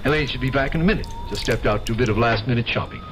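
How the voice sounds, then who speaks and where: An actor playing a chatty, flustered upper-class gentleman in a radio drama, fussy and repeating himself. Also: mid-range voice, animated, very fast, background noise, close to an everyday voice. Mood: breezy, flustered. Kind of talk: old-time radio drama acting. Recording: old radio recording with music.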